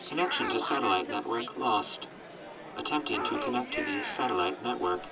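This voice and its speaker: A man's voice: medium pitch, normal speed, distant mic, a loud crowd, natural voice. A man voicing an emotionless robot that recites status alerts in stilted, formal phrases for an audio drama. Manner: flat, stilted, formal announcements. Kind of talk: post-apocalyptic audio drama acting.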